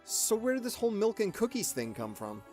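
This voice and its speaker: Sarcastic, animated young skeptic acted by a woman. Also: medium pitch, a little fast, soft background music, lightly acted. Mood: incredulous. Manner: sarcastic, incredulous. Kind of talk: radio drama acting.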